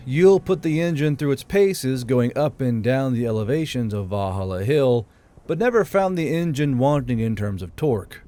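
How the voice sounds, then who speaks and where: A man's voice: mid-range voice, darkish tone. A man reading scripted fantasy narration as an audiobook narrator. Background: background music.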